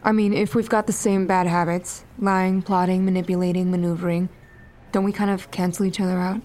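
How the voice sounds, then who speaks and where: A woman playing a dry, skeptical assassin in an audio drama, questioning in a deadpan way. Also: lowish voice, a little fast, natural voice.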